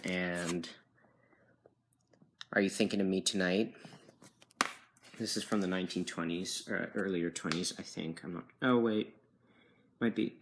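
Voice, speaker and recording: Male hobbyist collector, low register, hesitant off-the-cuff delivery, slightly noisy handheld phone sound. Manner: hesitant, unsure, self-correcting. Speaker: record collector showing his collection. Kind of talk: casual rambling show-and-tell. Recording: noisy amateur phone video with clicks.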